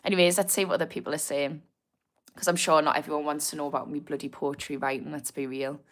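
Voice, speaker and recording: A woman's voice: deep voice, little pitch movement, fast talker. A young woman from Newcastle with a Geordie accent, chatting casually on a livestream and reading viewer comments aloud. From Newcastle, England. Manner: chatty, informal, reads viewer comments aloud, laughs easily. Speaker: livestream host on addiction recovery. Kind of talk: casual live-stream chat reading comments.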